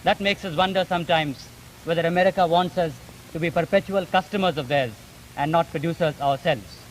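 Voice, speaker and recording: High-pitched young-sounding Indian debater, male. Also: fairly quick. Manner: articulate, emphatic, argumentative. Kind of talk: formal debate. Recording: noisy 1950s archive broadcast recording.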